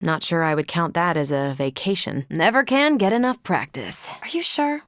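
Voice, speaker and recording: A woman's voice: on the low side, animated, moderate pace, fairly bright tone, dry recording, studio quality. An actress playing a confident, friendly superhero in a video game, casual and wry in her delivery. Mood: upbeat. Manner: casual, wry, self-assured. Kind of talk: video game character voice lines.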